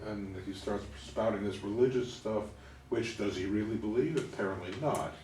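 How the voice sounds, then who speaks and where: Lower-voiced man in an echoey room. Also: moderate pace, darkish tone. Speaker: participant in a play discussion group. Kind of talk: casual group discussion, offering critique. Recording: echoey room, distant mic, background noise.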